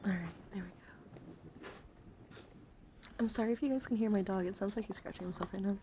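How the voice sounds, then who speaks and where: Soft, whispery woman streaming ASMR, noisy background. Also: medium pitch, normal speed. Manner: soft-spoken, often slipping into whispers. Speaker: streamer, host of a live card-collection stream. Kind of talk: ASMR, casual live show-and-tell with chat. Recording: clear background noise and handling sounds.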